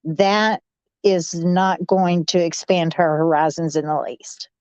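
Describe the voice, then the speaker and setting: A woman's voice: on the low side, fairly even intonation, takes it slowly, a little mellow, no room sound. A woman on a talk panel reading viewer comments and giving her opinions. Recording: narrow phone-like audio.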